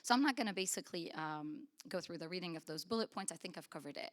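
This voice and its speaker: A fairly low-voiced woman from Lebanon presenting at a conference, speaking into a podium microphone. She talks in an earnest, emphatic way, pressing on key words and often restarting her sentences. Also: lively intonation, quick pace. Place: conference hall.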